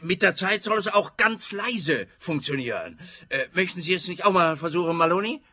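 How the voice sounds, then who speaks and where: An actor playing a scatterbrained, self-satisfied male policeman in a comic radio play, pompous and slow-witted in manner. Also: high voice, lively intonation, bright, forceful tone, heavily acted. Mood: pleased, eager.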